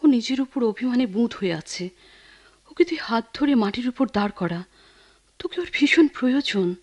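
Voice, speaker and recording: An earnest, insistent Bangladeshi woman friend in a radio play. Her fairly high voice swings animatedly as she presses each point with emphasis. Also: expressive, normal speed, a little mellow. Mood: urgent, scolding. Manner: emphatic, persuasive. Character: Jui, earnest, insistent close female friend urging sense. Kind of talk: Bengali radio drama acting.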